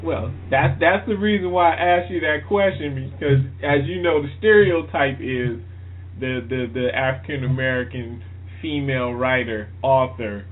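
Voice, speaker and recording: A man's voice: medium pitch, normal speed. Male interviewer with narrow phone-like sound. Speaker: radio show host, interviewer. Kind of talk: casual interview chat. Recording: thin phone-quality radio audio.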